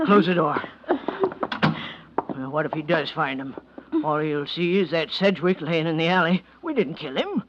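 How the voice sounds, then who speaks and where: An actor playing a nervous, curt, money-grubbing husband with rough, halting speech in a 1940s radio drama. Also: male voice, a little high, lively intonation, close to an everyday voice. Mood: irritated. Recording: dull noisy vintage radio recording.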